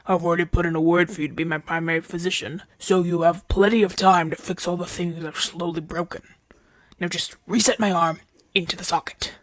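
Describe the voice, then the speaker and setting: A man's voice: on the high side, fairly even intonation, fairly quick, fairly bright tone, no echo, lightly acted. A man playing a proud, impatient, flirtatious champion gladiator in pain, brusque and grumbling, in an ASMR audio roleplay. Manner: brusque, demanding, grumbling, sighing through pain.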